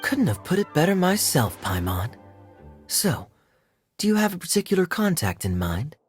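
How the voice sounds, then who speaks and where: A man playing a suave, teasing young knight in a video game, smooth and nonchalant. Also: a little high, sing-song, moderate pace, faint music behind. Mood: composed, suave. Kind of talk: video game character acting.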